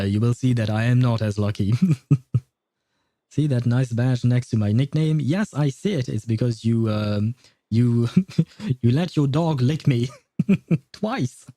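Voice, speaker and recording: A man's voice: mid-range voice, mellow, dry recording. A man livestreaming a video game, chatting to viewers in an informal, wry way. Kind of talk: casual live gaming commentary, chatting with viewers.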